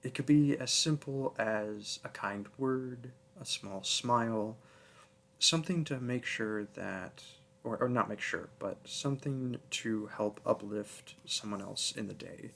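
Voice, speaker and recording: A soft-spoken man giving a casual sign-off to listeners, earnest and a little hesitant. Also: on the low side, a little slow, gravelly. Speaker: audio roleplay creator.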